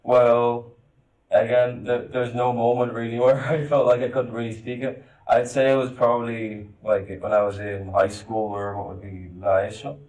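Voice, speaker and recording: A young man who grew up in Spain, chatting casually on a family podcast in a relaxed, rambling way. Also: medium pitch, normal speed. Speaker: hosts' son, guest. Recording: echoey room, distant mic.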